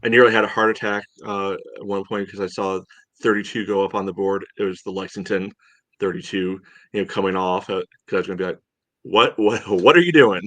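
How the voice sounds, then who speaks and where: A man co-hosting a podcast, casually analysing soccer in a halting way, often saying "you know". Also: low voice, fairly even intonation, fairly bright tone, dry recording. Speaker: podcast co-host.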